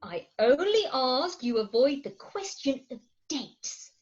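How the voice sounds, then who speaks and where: A woman's voice: high voice, big pitch swings, slow, heavily acted. A woman acting a proud, coaxing middle-aged wife in a comic Victorian farce, theatrical and emphatic, on slightly dull online call audio. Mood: pleading, insistent. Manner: theatrical, emphatic, grandly articulate. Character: imperious, coaxing Victorian English wife, thirty-six, proud. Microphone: remote home recording over video call.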